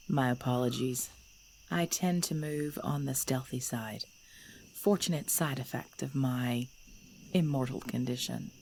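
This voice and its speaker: A woman playing a centuries-old vampire mistress in an ASMR audio drama, speaking formally in a breathy, measured voice. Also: rumbling low, darkish tone, slight background noise, heavily acted. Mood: amused, gentle. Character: centuries-old vampire mistress, female, cold ruler turned protective.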